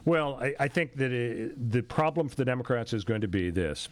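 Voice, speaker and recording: A man's voice: medium pitch, animated, moderate pace, no echo. A middle-aged American man hosting a radio talk show, commenting on news and history in a conversational way. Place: radio studio. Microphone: close studio microphone.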